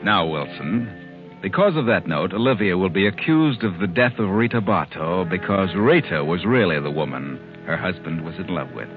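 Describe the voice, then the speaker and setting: An actor playing a sinister, all-knowing storyteller who narrates an old radio mystery in an ominous, sardonic manner. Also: male voice, deep voice, animated, normal speed, phone-quality sound. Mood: ominous, gloating. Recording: old 1940s radio recording with music.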